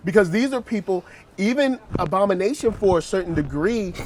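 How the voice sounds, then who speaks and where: A man's voice: sits high, expressive, fairly quick, a little mellow, a little hiss or hum, poor audio. A man on a fan panel talking casually, laid-back and agreeable, with easy slang.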